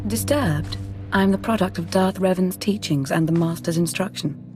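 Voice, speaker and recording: An actress playing a serene, zealous cult assassin in a video game, composed yet fervent. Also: female voice, fairly low pitch, moderate pace, over music, lightly acted. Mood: assured. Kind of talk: scripted video game dialogue.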